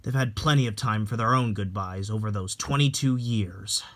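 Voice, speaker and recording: A man playing a calm, gentle young married man in a dramatic reading, soft-spoken and reflective. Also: fairly low pitch, normal speed, background noise, pitched below the performer's own voice, lightly acted. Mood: wistful, explanatory.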